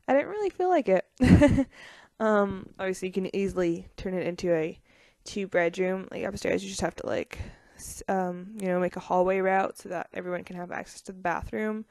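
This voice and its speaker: A woman's voice: mid-range voice, a little mellow, dry recording. A young woman casually talking over her building video game footage, rambling with lots of ums and small laughs.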